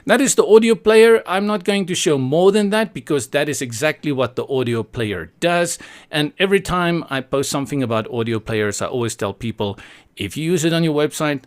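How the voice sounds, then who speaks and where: A man from South Africa presents a casual software tutorial in an opinionated, playful way, thinking aloud as he goes. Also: fairly high pitch, animated, a little fast.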